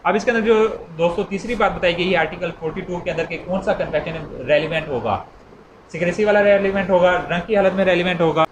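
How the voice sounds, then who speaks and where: A man's voice: high voice, fast. A man gives an informal explanatory lecture, repeating points conversationally and illustrating them with everyday examples. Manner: repetitive, conversational teaching with acted-out everyday examples. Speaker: law lecturer. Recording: echoey room with clear background noise.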